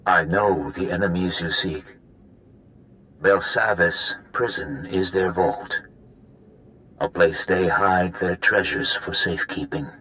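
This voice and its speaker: A man's voice: low-pitched, slow pace, sharp tone, exaggerated voice, poor audio. An actor playing an ancient, weary male prisoner in a video game, solemn and secretive, telling his story with grave deliberation. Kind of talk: dramatic video game acting.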